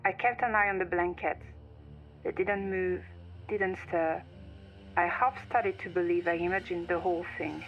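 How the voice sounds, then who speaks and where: Female horror narrator, scripted first-person storytelling, lowish pitch, muffled lo-fi recording, music underneath. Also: fairly even intonation, moderate pace.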